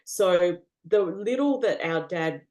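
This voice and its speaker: A woman's voice: lowish voice, lively intonation, takes it slowly, darkish tone. An Australian woman, a guest in a casual interview, talking earnestly with lots of fillers and frequent laughter.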